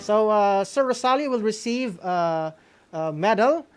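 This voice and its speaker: A woman's voice: medium pitch, expressive, measured pace, over music. A woman with a Filipino accent co-hosting an awards announcement, mixing English with Visayan and tagging phrases with "no?". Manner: mixes English with Visayan, frequent "no?" tags. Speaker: co-host of an online awards ceremony. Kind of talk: announcing award winners, semi-scripted.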